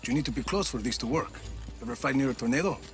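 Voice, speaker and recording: A man's voice: medium pitch, animated, quick pace, close to an everyday voice. A man playing a cocky action hero. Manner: dry teasing banter. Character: cocky male action hero agent, teasing. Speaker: game protagonist. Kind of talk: video game cutscene acting. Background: game music.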